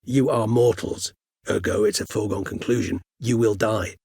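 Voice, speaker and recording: An actor voicing an erudite, gentle, world-weary old vampire scholar in a video game, speaking in measured, courtly, bookish phrases. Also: male voice, on the low side, steady tone, gravelly, slightly rough, no room sound, clean studio sound. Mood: measured, pensive. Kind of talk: video game character dialogue.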